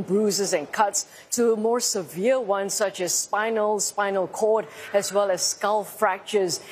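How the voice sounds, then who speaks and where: A woman in her forties reports live, measured and articulate. She speaks into a lapel mic in an indoor hospital lobby, a faint hum of noise around her. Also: mid-range voice. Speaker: field reporter.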